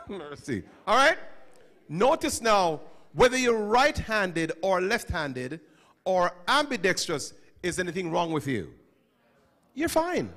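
Animated high-pitched man addressing students. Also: slow. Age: middle-aged. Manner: animated, questioning, calls on listeners. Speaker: teacher speaking at a school chapel service. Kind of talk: interactive talk to students. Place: school chapel hall. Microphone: handheld microphone.

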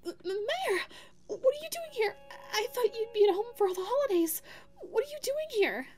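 A woman's voice: extremely high pitch, big pitch swings, moderate pace, a little mellow. A woman voicing a sweet, anxious female dog secretary with a crush, speaking hesitantly in an ASMR roleplay. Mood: startled, flustered. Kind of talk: ASMR audio roleplay monologue. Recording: echoey reverberant sound with background music. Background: music.

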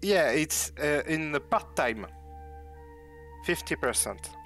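Slow-talking man with a French accent. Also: fairly high pitch, expressive, fairly bright tone, faint music behind. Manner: hesitant, searching for words. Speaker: guest developer, new team member. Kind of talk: casual chat.

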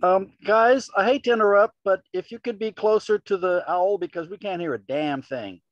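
A man's voice: high-pitched, moderate pace. A man cutting in bluntly to complain he cannot hear. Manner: blunt, exasperated. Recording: dull lo-fi sound.

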